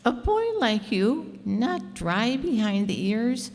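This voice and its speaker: Actress as fussing motherly housewife in a radio play, high swoopy voice, hall echo. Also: very animated, takes it slowly, darkish tone, soft background music, heavily acted. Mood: welcoming, concerned. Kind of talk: radio drama acting. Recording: echoey hall.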